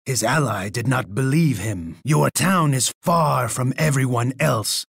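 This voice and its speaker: A bloodthirsty, imperious Aztec emperor from a strategy video game. His voice is heavily gravelled and rough, recorded dry and close. He delivers every line with pompous, theatrical menace, boasting grandly about himself. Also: mid-range voice, measured pace, no room sound. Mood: boastful, menacing. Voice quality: gravelly, raspy. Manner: pompous, speaks of himself in third person.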